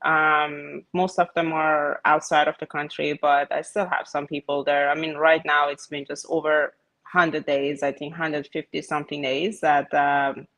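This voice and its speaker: Iranian-born woman, thirties, gravelly low voice, remote phone guest, halting. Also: level tone, fairly bright tone, low-quality recording. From Iran. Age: 30s. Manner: hesitant, many fillers. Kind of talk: casual remote interview. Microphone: phone with headphones.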